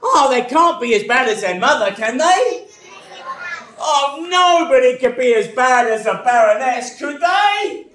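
Woman playing a cheeky high-voiced pantomime servant, distant. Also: expressive, measured pace, fairly bright tone. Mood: confiding. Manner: chatty, cheeky, confiding in the audience. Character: friendly, cheeky young pantomime servant Buttons. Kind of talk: broad British pantomime acting to audience. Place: live amateur pantomime on a hall stage. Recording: echoey stage recording, distant mic, noise.

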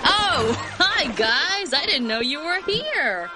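A woman playing an earnest, headstrong young woman in an animated storybook. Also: sits high, takes it slowly, over loud music, full character voice. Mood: nervous. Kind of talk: animated film storybook acting.

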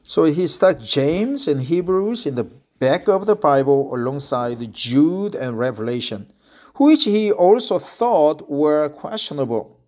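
A man's voice: on the high side, very animated, measured pace, darkish tone. A man who speaks English with a Korean accent reads an article aloud, repeating phrases and stopping to comment in a casual way. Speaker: reader practicing English.

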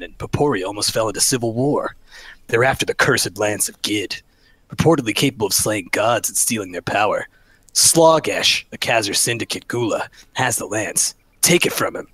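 A woman's voice: a bass voice, a bit bright, breathy edge, no echo. A woman chatting casually while playing an online game, playful and jokey, sometimes reading quest text aloud. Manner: playful, jokey, breaks into silly voices.

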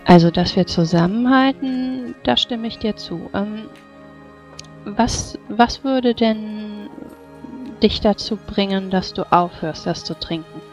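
A woman playing a tabletop game, improvising in character in a gentle, coaxing, hesitant way. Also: mid-range voice, slow pace, dull audio. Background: faint music.

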